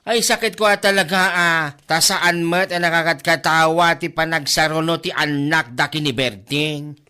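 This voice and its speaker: A man's voice: fairly high pitch, fairly even intonation, normal speed, bright, forceful tone, clear, resonant tone. A man with an Ilocano accent playing a gossipy, exclamatory village neighbour in a comedy drama. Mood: teasing.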